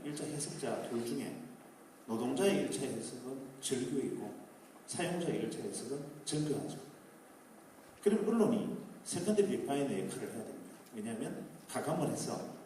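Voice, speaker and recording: Guy giving a talk to a crowd, lots of rhetorical questions, booming hall sound. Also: warm tone, a little hiss or hum. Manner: rhetorical questions, rising emphasis. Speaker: speaker at a public talk concert. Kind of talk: lecturing to a live audience. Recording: echoey hall, distant mic.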